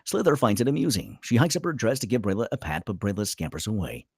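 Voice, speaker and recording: A man's voice: lowish voice, big pitch swings, quick pace, slightly rough, no echo, clean studio sound. A man reading screenplay stage directions aloud as a dramatic narrator at a table read.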